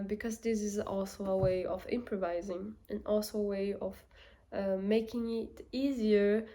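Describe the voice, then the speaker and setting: A young woman with a Portuguese accent talks to her camera in an unhurried, slow way. She thinks aloud as she goes, pausing on fillers and starting sentences over. Her pitch sits in an ordinary middle range. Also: warm tone. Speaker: vlogger. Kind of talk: casual reflective talk to camera.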